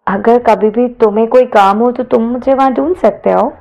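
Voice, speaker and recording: A woman voicing an eager, curious young female college student in an audio story, talking in an excitable, inquisitive way. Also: fairly high pitch, normal speed, creaky, dull audio, soft background music. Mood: chatty, gossipy. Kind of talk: audio story character dialogue.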